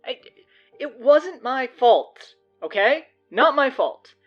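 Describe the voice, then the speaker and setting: A woman's voice: high-pitched, big pitch swings, slow pace, lo-fi sound, soft background music, exaggerated voice. A woman voicing a flustered, defensive teenage boy. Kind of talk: audio drama acting.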